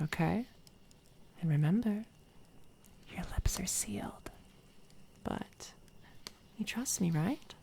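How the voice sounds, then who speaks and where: A woman voicing a sinister, megalomaniac evil doppelganger in a soft-spoken ASMR roleplay, breathy and often whispering, with deliberate, grandiose, condescending delivery. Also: mid-range voice, slight background noise. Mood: silky condescension. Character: sinister female evil doppelganger, coldly megalomaniac would-be ruler. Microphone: close binaural microphone.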